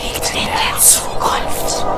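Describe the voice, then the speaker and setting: An actress playing an eerie, ominous tomb spirit in a breathy whisper, in a German audio drama. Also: female voice, slow, close to an everyday voice. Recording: loud music around distant whisper.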